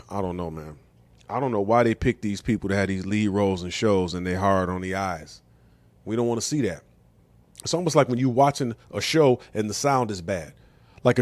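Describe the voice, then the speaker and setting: A middle-aged man with an American accent and a medium-pitched voice holds forth in a casual monologue. He is blunt and slangy, often tossing out rhetorical questions. The sound is dry, with little room in it. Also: no room sound. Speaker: comedian and talk show host.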